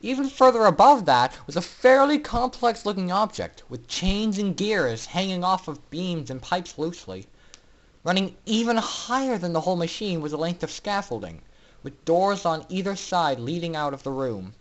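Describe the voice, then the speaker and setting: A man's voice: sits high, lively intonation, normal speed. A man reading a story aloud as a dramatic amateur narrator, theatrical and emphatic in his delivery. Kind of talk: dramatic fan fiction reading.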